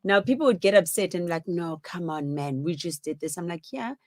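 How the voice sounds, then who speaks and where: Teasing woman in her forties, low, dry. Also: expressive, brisk, no echo. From South Africa. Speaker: co-host. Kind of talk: casual chat while eating on a live stream.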